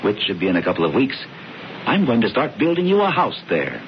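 A smooth-talking scheming brother. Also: male voice, mid-range voice, huge pitch swings, brisk, a little hiss or hum. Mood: assured. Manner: coaxing, assured. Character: scheming confident older brother, smooth talker. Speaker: brother George. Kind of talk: 1970s radio drama acting. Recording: muffled old radio broadcast.

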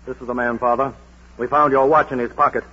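An actor playing a firm, dutiful police officer with a curt manner in an old radio drama. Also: male voice, medium pitch, steady tone, fast, breathy edge, muffled recording, natural voice. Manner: authoritative, curt. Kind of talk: Golden Age radio drama acting.

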